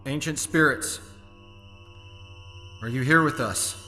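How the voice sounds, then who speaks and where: An actor playing a solemn young man leading a seance, speaking in a slow, portentous, declaiming way in an audio horror drama. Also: mid-range voice, pushed and bright. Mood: intent, grave. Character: young man Chris, solemn seance leader calling spirits. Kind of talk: dramatic chanting, incantation. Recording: long cavernous reverb, music underneath.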